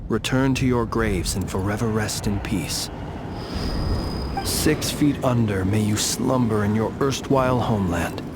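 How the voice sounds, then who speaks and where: A man voicing a solemn, gentle keeper of the dead in a video game, speaking with formal, measured eloquence. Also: on the low side, very noisy, natural voice. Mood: solemn, reverent. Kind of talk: scripted video game voice acting.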